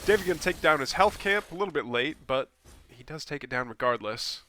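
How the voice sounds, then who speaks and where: A man casting a game replay on his own, with a stuffy, scratchy voice from a cold, talking casually with lots of ums and restarts and sudden bursts of excitement. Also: a little high, fairly quick. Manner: casual, rambling, with frequent ums and self-corrections. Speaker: game caster. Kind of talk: solo replay commentary.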